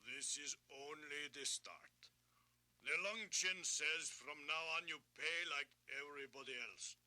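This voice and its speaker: An actor playing a menacing masked gangster enforcer with a curt, threatening delivery in a 1980s audio drama. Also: male voice, fairly high pitch, measured pace, pushed and bright. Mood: cold threat.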